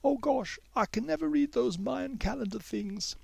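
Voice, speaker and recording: A man's voice: very high voice, normal speed, creaky, darkish tone, husky, no echo, pitched above the performer's own voice, exaggerated voice. A man voicing an earnest, flustered young woman in a story, eager and hesitant in manner. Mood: uncertain.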